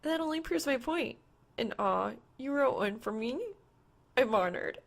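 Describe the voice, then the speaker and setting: A woman's voice: high voice, lively intonation, measured pace, creaky, pitched above the performer's own voice, heavily acted. A woman voicing a teasing, fearless young woman gamer with a girlish voice in an audiobook. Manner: casual teasing banter. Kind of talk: audiobook character dialogue.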